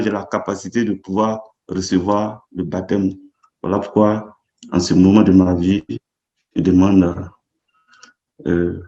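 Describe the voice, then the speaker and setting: Slow hesitant man from Côte d'Ivoire. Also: lowish voice, darkish tone, echoey room, muffled recording. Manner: hesitant, slow, repeating words. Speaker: adult baptism candidate. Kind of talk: personal testimony.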